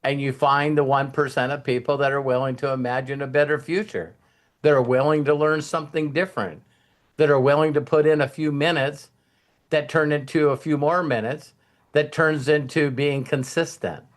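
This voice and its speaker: A man's voice: fairly high pitch, steady tone, moderate pace. A man hosting a casual motivational talk in a rambling, folksy, anecdotal way. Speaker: host of a motivational team talk.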